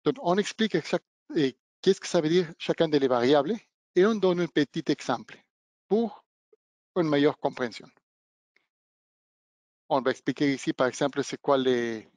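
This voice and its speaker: A man who is not a native speaker of the language, giving a webinar demonstration of training equipment and pausing often to search for words. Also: fairly high pitch, a little mellow, dry recording. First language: not French. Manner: halting, searching for words, non-native phrasing. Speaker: product trainer.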